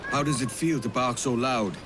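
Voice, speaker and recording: An actor playing a frank, roguish pirate captain in a game cutscene. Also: male voice, mid-range voice, normal speed, very noisy, lightly acted. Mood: probing. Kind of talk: dramatic game cutscene acting.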